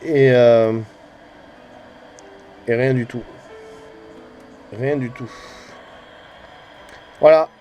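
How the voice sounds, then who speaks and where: A man's voice: mid-range voice, drawn-out words, long pauses, highs cut off, soft background music. A man giving a casual, rambling hobbyist vlog commentary, hesitant and often trailing off with little tag words. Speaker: amateur CB radio hobbyist and channel host.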